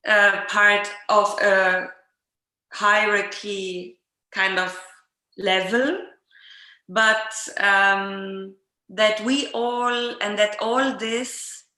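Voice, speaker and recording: A woman interviewer explaining reflectively in conversation, hesitant and often pausing mid-sentence, recorded with roomy echo. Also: medium pitch, bright, forceful tone. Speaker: interviewer in an art dialogue. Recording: roomy, echoing sound.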